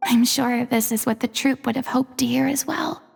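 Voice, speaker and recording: A woman's voice: high voice, moderate pace, creaky, darkish tone, a little hiss or hum. A woman voicing a confident, earnest young mentor of performers in a video game, with grand, theatrical phrasing. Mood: tender, subdued. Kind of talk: dramatic video game voice acting.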